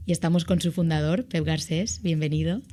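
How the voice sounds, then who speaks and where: A woman hosting a podcast interview, asking brisk, friendly questions to her guest. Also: sits low, warm tone. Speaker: podcast host.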